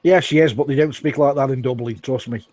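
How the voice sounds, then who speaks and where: A man's voice: mid-range voice, brisk, darkish tone, no room sound. A man from England joking crudely and chatting casually while watching a show with friends. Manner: crude joking, laughs at own jokes. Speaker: live chat co-host. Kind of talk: casual group chat.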